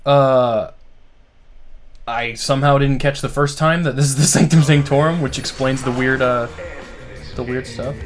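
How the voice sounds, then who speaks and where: A man hosting a live stream, reacting to a game trailer in casual, excited, rambling talk full of "like". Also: mid-range voice, moderate pace, pauses often, over music. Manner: excited, rambling, heavy use of "like". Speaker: streamer host.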